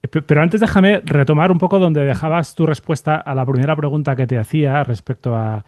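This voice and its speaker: A man interviewing a guest conversationally as podcast host, in halting phrases full of repeated tag questions. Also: on the high side, a little mellow, no room sound, studio quality.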